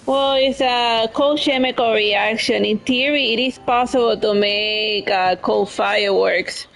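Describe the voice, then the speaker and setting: A woman's voice: on the high side, a little slow, creaky, a bit bright. A woman streamer giving casual, rambling live commentary on a game she is playing.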